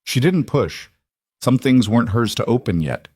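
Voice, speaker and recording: A man reading scripted dramatic fiction as an audiobook narrator, measured and deliberate in short phrases. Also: medium pitch, sing-song, a little mellow, husky, no room sound, studio quality.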